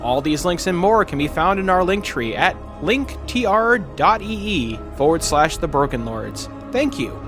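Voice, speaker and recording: High voiced guy doing a promo read, swoopy, music behind him. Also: sing-song, moderate pace. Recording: music under the voice.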